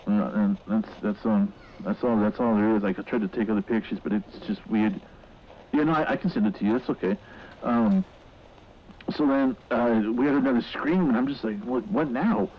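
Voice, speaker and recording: A man playing a shaken mall worker giving a halting, rambling witness account in a horror audio drama. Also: lowish voice, lo-fi sound, lightly acted. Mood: shaken, bewildered. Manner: halting, rambling, repeating words. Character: shaken male mall worker recounting a horrific incident.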